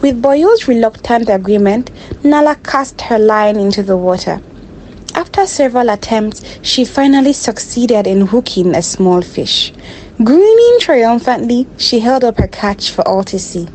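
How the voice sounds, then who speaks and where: A woman storyteller reading a scripted folktale in a dramatic, measured way. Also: on the high side, lively intonation, darkish tone, slight background noise, rough recording.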